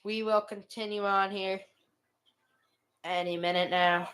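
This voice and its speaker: A woman with a clear, smooth voice commentates a hockey game live. She mixes play-by-play with casual chat as a watch party host. The sound is dry and close, on a headset mic. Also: medium pitch, steady tone, a little slow, fairly bright tone, dry recording.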